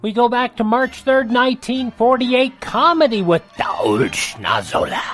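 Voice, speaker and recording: Lively male host, mid pitch, chatty announcing, close dry mic, faint music. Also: measured pace. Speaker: radio show host. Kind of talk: announcing and chatty sign-off to listeners.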